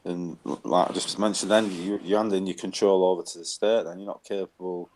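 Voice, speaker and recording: Very deep-voiced man. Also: fairly even intonation, a little fast, darkish tone, low-quality recording. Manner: opinionated, tag questions, loose phrasing. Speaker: co-host. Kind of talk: casual conversational talk show.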